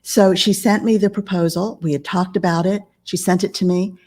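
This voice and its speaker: A woman in her fifties, a business coach and author, speaking calmly and in a measured way as a podcast guest. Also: mid-range voice. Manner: calm, measured. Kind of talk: podcast interview conversation.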